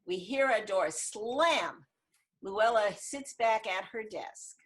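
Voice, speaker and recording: A woman's voice: medium pitch, slow. Female narrator, mid-range voice, scripted screenplay narration, stage directions, video call.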